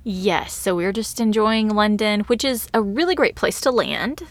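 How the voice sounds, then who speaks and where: A woman's voice: medium pitch, animated, unhurried, no room sound. A woman hosting a travel podcast, chatting casually and laughing often. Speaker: podcast host.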